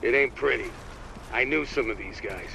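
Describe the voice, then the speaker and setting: An actor playing a grim, weary soldier in a video game, gruff and sombre in his delivery. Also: medium pitch, moderate pace, bright, forceful tone, a little hiss or hum, rough recording.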